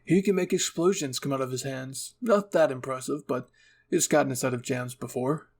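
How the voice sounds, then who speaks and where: A man plays a young fantasy adventurer from an anime roleplay, eager and good-hearted. His voice is husky with a gravelly creak, and he talks in a friendly, enthusiastic way. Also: mid-range voice, normal speed, a little mellow, lightly acted. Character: cheerful, earnest young redheaded male fantasy adventurer. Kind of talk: anime fan roleplay acting.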